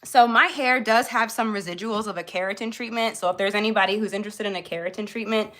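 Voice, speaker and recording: A woman speaks at an average female pitch. She is hosting a live beauty tutorial in a casual style. Her delivery is informal and chatty, addressed directly to the people watching. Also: fast, a bit bright. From born in Jamaica, grew up in Florida, US. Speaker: beauty livestream host.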